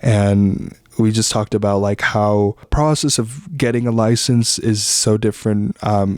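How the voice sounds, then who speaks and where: A young man from the Philippines hosting a podcast, talking in a relaxed, rambling way with lots of fillers and slang. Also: lowish voice, dry recording, clean studio sound. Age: young adult. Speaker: podcast host. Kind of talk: casual reflective monologue.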